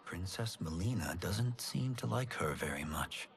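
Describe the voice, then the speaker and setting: Earnest, low-voiced young warrior. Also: male voice, lively intonation, measured pace, vocal fry, exaggerated voice. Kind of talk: scripted fantasy video game cutscene acting.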